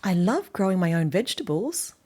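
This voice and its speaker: A woman with an Australian accent voicing a friendly, proud young male storybook character, speaking slowly and deliberately for a children's read-aloud. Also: lowish voice, big pitch swings, darkish tone, husky. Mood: proud, happy.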